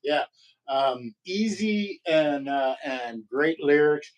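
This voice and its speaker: A man with a low voice in casual conversation, rambling and halting with many fillers and restarts. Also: animated, over music, poor audio. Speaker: podcast co-host. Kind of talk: casual chat about guitar.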